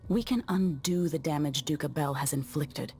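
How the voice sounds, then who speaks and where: A woman's voice: deep voice, normal speed, a little mellow, soft background music. An actress playing a determined young royal woman in a video game, terse, controlled and businesslike. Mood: cool resolve. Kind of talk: video game cutscene acting.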